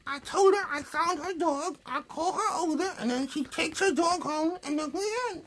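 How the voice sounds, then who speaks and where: A man voicing a sassy young girl puppet with cheeky, deadpan comebacks in a puppet show. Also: very high-pitched, normal speed, voice pushed up. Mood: chatty.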